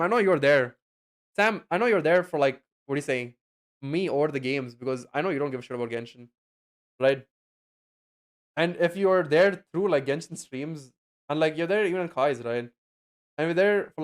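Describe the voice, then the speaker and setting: A man's voice: on the high side, clear, resonant tone. A young man streaming late at night, chatting loosely with his viewers, full of fillers and casual swearing. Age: young adult. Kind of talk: casual stream chat reading chat.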